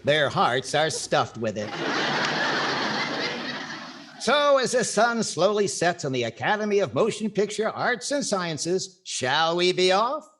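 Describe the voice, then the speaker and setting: A man's voice: high-pitched, very animated, unhurried, fairly bright tone, full character voice. An older man playing a wry, wisecracking comedy host in a 1940s radio style. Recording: clear background noise and clicks.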